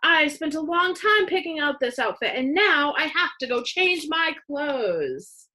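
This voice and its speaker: A woman reader puts on a teasing schoolgirl from a children's storybook. She is a bossy sister who leans hard on her words. Her delivery is emphatic and taunting, with big lively shifts in pitch. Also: unhurried, exaggerated voice. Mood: angry.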